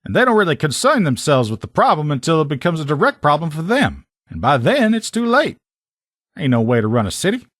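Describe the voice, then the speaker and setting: A man's voice: a little high, sing-song, fairly quick, fairly bright tone, dry recording, clean studio sound. A man playing a brash sheriff's lieutenant and proud armor mechanic in a video game, blunt, sardonic and salty-tongued. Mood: frank, opinionated. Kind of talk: video game character dialogue.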